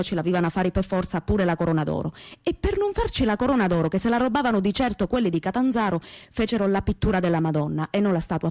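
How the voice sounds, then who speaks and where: A woman's voice: fairly low pitch, a little mellow, dry recording, studio quality, lightly acted. Actress as proud young Calabrian woman, closely recorded. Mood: proud, defiant. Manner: earthy, colloquial, repetitive folk storytelling. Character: plain-spoken, proud young working-class southern woman recounting memories. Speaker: stage actress performing a monologue. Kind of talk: dramatic first-person storytelling in dialect-tinged Italian radio theatre.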